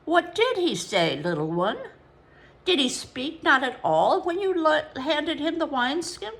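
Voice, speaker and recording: A woman's voice: high-pitched, unhurried, creaky, voice pushed up, heavily acted. A woman voicing a servile, grinning male porter with a Babylonian accent and a reedy, sibilant voice. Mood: wheedling. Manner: oily, obsequious flattery.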